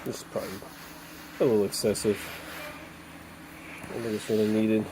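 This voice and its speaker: A man's voice: fairly low pitch, level tone, moderate pace, background noise. Chatty man talking while he works. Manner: chatty, thinks aloud while working; playful repetition of words. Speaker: DIY video host. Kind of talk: casual hands-on repair walkthrough. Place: workshop or garage, cold day.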